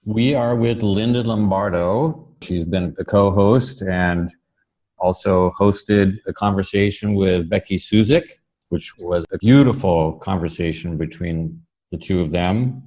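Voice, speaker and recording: Low-voiced man hosting a podcast. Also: measured pace, a little mellow, highs cut off. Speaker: podcast host. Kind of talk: podcast introduction and conversational interview.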